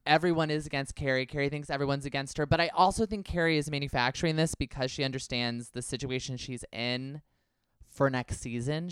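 A man's voice: medium pitch, fairly bright tone, smooth, dry recording, studio quality. A man co-hosting a podcast, giving gossipy, analytical takes in casual chat. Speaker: podcast co-host. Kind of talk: casual chat about reality TV.

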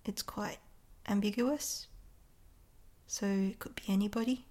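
A woman's voice: mid-range voice, fairly even intonation, a little slow, warm tone. A woman poet talking casually and reflectively in an interview, in an understated, tentative way. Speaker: poet and author being interviewed.

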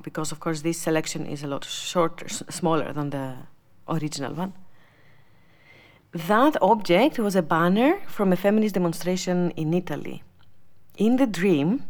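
A woman speaks in a fairly low register. She shares a personal reflection, choosing her words in a measured, thoughtful way. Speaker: exhibition contributor.

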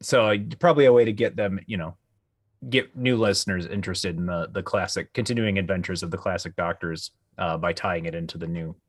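Low-pitched man in casual podcast chat. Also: a little fast, dry recording, studio quality. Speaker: podcast co-host.

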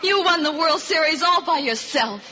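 An actress playing a feisty, bossy, quick-witted young sweetheart in a 1950s radio drama style. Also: female voice, sits high, expressive, bright, forceful tone. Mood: firm, pleased. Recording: muffled noisy old radio broadcast, crowd din.

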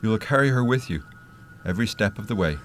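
An actor playing a stern, solemn spirit who guides the dead in a radio play, grave and deliberate. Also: male voice, lowish voice, animated, faint music behind, lightly acted. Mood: firm, solemn.

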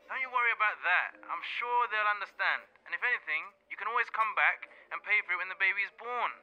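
A man playing a brisk, reassuring family doctor, heard through a telephone filter in a modern audio play. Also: high voice, bright, forceful tone. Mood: calm, reassuring. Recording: thin phone-call sound, music underneath.

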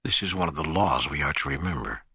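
Man reading as an animated, husky, troubled seeker. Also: very deep voice, quick pace, no echo, studio quality, heavily acted. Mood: earnest, seeking. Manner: earnest, emphatic questioning. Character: earnest man questioning God, troubled and searching. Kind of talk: audiobook dialogue reading.

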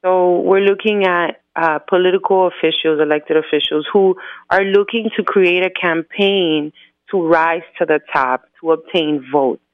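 A woman's voice: lowish voice, steady tone, unhurried, dry recording. A woman from the United States, an activist guest, explaining things earnestly and methodically in an interview over the phone. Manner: earnest, methodical, lays out points in groups. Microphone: phone line. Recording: tinny phone line.

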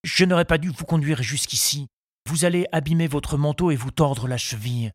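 Audiobook suitor, played by a male narrator: young, shy, earnest, high-pitched, husky, halting and deferential. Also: no echo, studio quality, natural voice. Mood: solicitous. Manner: hesitant, deferential, stammering.

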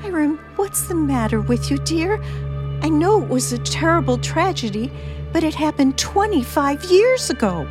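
An actress playing a frightened, pleading wife in 1940s radio suspense style, hesitant, breathless and theatrical. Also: female voice, high voice, sing-song, moderate pace. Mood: nervous pleading. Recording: old radio drama, faint music behind.

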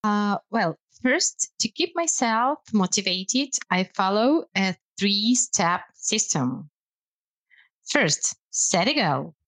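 This is a woman whose first language is Russian. She co-hosts a podcast as a language lecturer and talks slowly, with a lively rise and fall in her pitch. Her voice is recorded close and dry, and she explains her tips in a measured way with a few hesitant fillers. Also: no room sound. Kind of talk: podcast conversation about language learning.

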